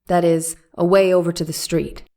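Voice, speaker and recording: A woman voices a courtroom lawyer from the 1890s, pressing a witness with leading questions. Her voice sits somewhat low. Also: flat delivery, normal speed, mellow, natural voice. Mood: probing. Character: probing 1890s defense attorney. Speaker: cross-examining lawyer. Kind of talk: dramatic reading of a trial transcript.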